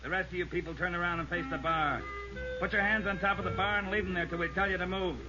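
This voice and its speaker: An actor as a high-voiced, menacing stickup gang leader. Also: male voice, steady tone, fast talker, close to an everyday voice. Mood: menacing, commanding. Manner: barking terse orders. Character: ruthless shotgun-wielding gang leader, threatening and commanding. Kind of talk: 1950s radio crime drama acting. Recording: dull old radio recording, music behind.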